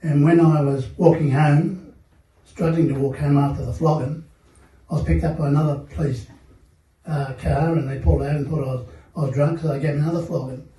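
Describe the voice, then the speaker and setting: An older Australian man speaking as a panel guest at a seminar, telling his life story in a measured, reflective way with many pauses and fillers. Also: on the high side, fairly even intonation, dark tone. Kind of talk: personal testimony at a seminar panel. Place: seminar hall. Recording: echoey room, mic at a distance.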